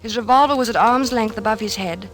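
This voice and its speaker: An actress playing an anxious, devoted wife who narrates an old-time radio drama. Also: female voice, fairly high pitch, brisk, soft background music, close to an everyday voice. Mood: grave narration.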